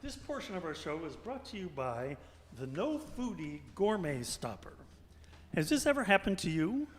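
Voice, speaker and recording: In a big echoing hall, a man plays an upbeat radio theater host running a fundraiser. His voice sits high for a man and moves around a lot in pitch as he announces. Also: very animated, moderate pace, a little hiss or hum. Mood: announcing. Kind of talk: radio show hosting and announcing. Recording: echoey hall with long reverb.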